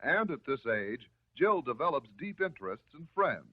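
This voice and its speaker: A man's voice: a little high, big pitch swings, husky. Tinny 1950s American male film narrator. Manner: measured, instructive, reassuring. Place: voice-over recorded for film. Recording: muffled, narrow-band 1950s film soundtrack.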